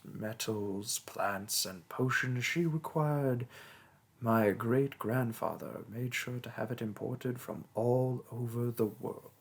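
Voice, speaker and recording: Casting: male actor as a vain sorcerer king, slow, deliberate delivery, breathy tone, condescending and grandiose, for fantasy audio roleplay. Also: medium pitch, full character voice. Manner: pompous, theatrical, condescending. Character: pompous, vain mage king, haughty toward his peasant subject. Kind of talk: theatrical roleplay monologue and storytelling.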